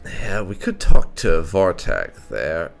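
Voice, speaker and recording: A man's voice: low-pitched, very animated, slow, gravelly, faint music behind. A man giving casual, wry commentary as he plays and roleplays through a video game. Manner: wry, sardonic. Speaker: gaming video host.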